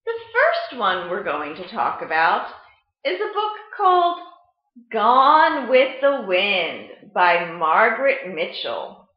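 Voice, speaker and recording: A middle-aged American woman presenting a storytime lesson for children, explaining in a deliberate, animated way. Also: medium pitch. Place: indoor room at home, seated at a table. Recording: muffled webcam sound in echoey room.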